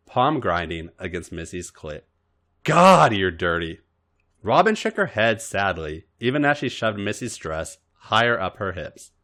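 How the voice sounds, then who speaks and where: A man reading scripted fiction aloud as an expressive story narrator. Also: fairly low pitch. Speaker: podcast story reader.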